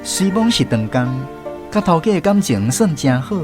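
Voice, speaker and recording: A man's voice: a little high, big pitch swings, normal speed. A man with a Taiwanese accent narrating a scripted radio story in a folksy way, drawing out his phrases. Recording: music bed under the voice. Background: background music.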